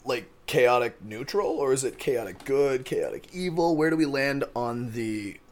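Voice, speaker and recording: A man's voice: medium pitch, a little slow. A man co-hosting a comedy podcast, throwing crude, mock-grand wisecracks and swearing. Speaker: podcast co-host. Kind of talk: casual comedic podcast chat.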